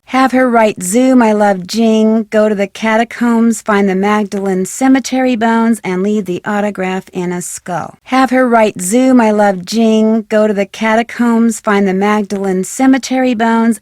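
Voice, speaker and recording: A woman's voice: mid-range voice, darkish tone, dry recording, clean studio sound, lightly acted. An actress playing a polite, inquisitive young female detective, earnest, in a video game.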